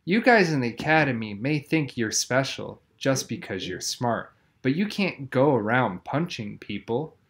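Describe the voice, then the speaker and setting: A man's voice: mid-range voice, sing-song, measured pace, few pauses, a bit bright. A man voicing an indignant schoolgirl in a children's novel, scolding and lecturing.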